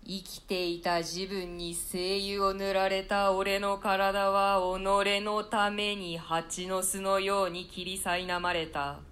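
A woman voicing the ghost of an old murdered king in a radio play reading, speaking slowly and solemnly. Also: medium pitch, level tone, fairly bright tone. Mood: cursing. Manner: slow, solemn delivery.

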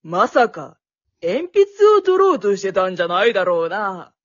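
A woman voicing a stern, suspicious male schoolteacher who speaks in a gruff, accusing way. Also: medium pitch, sing-song, moderate pace, no room sound, highs cut off, voice pushed down.

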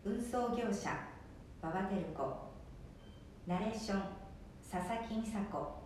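A woman's voice: slow pace, a little mellow. A woman hosting an amateur radio show, reading a scripted introduction. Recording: echoey room, distant mic, faint rumble.

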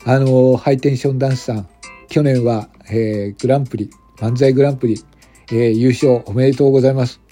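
A young company president played by a man speaks in a friendly, polite and unpretentious way. His voice is very soft-toned and husky, in a radio drama with music behind. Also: medium pitch, fairly even intonation, quick pace, lightly acted. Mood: polite, businesslike. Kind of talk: amateur radio drama acting. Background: background music.

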